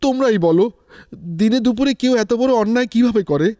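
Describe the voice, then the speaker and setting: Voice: medium pitch, animated, fast, warm tone, no room sound, studio quality, lightly acted. A voice actor playing a poor, wronged male goat owner from a village in a cartoon, plaintive and protesting. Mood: aggrieved, sorrowful. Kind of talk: cartoon dialogue. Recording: music bed under the voice. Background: music.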